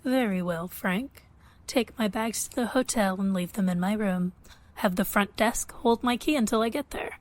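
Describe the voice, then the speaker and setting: An actress playing a self-assured, commanding high-born woman who speaks formally in an audio drama. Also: moderate pace, natural voice.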